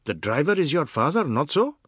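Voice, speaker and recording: A man's voice: on the high side, sing-song, brisk, a bit bright, husky, no room sound, clean studio sound, voice pushed up, full character voice. A man voicing a sneering, easily riled fat labourer in a story reading. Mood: nosy. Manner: taunting, creole grammar.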